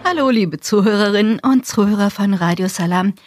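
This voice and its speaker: A woman's voice: mid-range voice, brisk, over music. A woman presenting radio news in a studio and interviewing a new volunteer in a friendly way.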